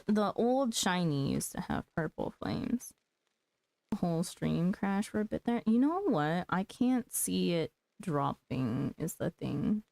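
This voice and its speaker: A woman's voice: mid-range voice, vocal fry, dark tone, dry recording. A woman giving casual livestream commentary on a video game, muttering to herself as she plays.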